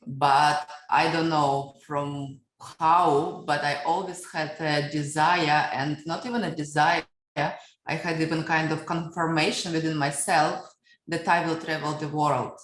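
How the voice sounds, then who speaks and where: A woman in her thirties with a Russian accent speaks low and unhurried. She answers interview questions reflectively, with plenty of fillers. The sound carries a roomy echo. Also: fairly even intonation. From Siberia, Russia. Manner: reflective, storytelling, frequent fillers. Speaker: guest.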